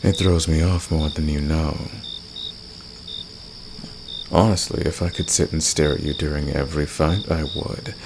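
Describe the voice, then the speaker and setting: Man voicing a very deep, gravelly, flirtatious villain. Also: fairly even intonation, normal speed. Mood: flirtatious, teasing. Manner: teasing, smug, intimate, soft chuckles. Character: flirtatious, confident fantasy villain man, secretly protective. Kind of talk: ASMR romance roleplay audio drama. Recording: clear background noise, night cricket ambience.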